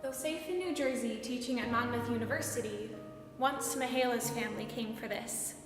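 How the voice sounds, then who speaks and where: A young woman performing a dramatic storytelling monologue on stage in deliberate, emphatic, clipped phrases. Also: on the high side. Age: young adult. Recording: echoey hall with long reverb. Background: music.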